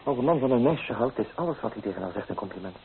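A man's voice: medium pitch, expressive, fast, creaky, slight background noise. Tinny radio-play recording, sardonic flirtatious man. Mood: teasing, flirtatious. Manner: teasing, theatrical, persuasive.

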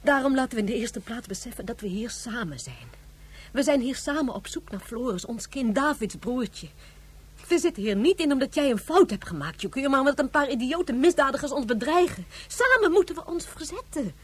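An actress playing a passionate, exasperated mother in a radio drama, emphatic and pleading, with a husky voice. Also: sits high, big pitch swings, a little fast, full character voice. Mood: urgent, exasperated. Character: passionate, exasperated mother rallying her family.

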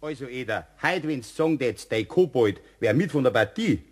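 An actor playing a hearty, teasing Bavarian bowling companion in a radio play, bantering in dialect. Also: male voice, medium pitch, animated, moderate pace, natural voice. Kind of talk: German radio play acting.

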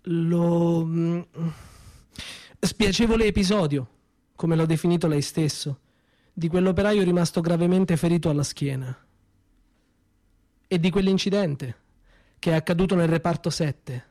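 A man delivers a bitter, accusing monologue in a slightly high voice, dropping into slow, heavy pauses. The sound is close and dry, with no room around it. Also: a little mellow, no echo. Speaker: stage actor in a one-man monologue. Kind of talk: theatrical monologue performance. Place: small theatre stage.

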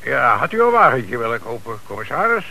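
Sly car dealer, gravelly, bright voice, mock-polite, jovially slippery, radio drama. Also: male voice, mid-range voice, big pitch swings, brisk, exaggerated voice. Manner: feigned politeness, jovial evasiveness. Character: sly, mocking small-time car dealer and old crook. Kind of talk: radio play acting. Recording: hissy old radio play recording.

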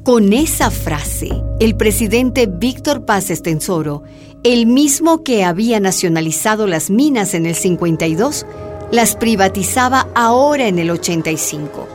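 A woman's voice: mid-range voice, lively intonation, unhurried. A woman narrator reading a scripted radio drama. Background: music.

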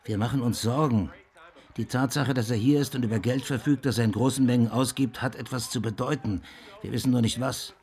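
Man acting a blunt, gravelly tough guy. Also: medium pitch, steady tone, fairly quick, a little mellow, soft background music, lightly acted. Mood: stern, pressing. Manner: blunt, insistent. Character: hard-edged, pressuring man with a shady past. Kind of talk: naturalistic radio drama acting.

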